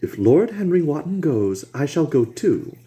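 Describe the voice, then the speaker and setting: A man voicing a petulant young English gentleman, pleading and insistent in a raised, whiny voice. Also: a little high, sing-song, unhurried, pitched above the performer's own voice.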